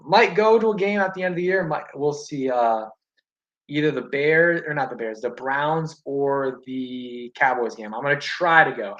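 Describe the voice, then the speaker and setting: A young man chatting casually on a live stream from home, reading viewer comments and answering in a relaxed, rambling way with many fillers. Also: medium pitch. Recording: dull lo-fi stream audio.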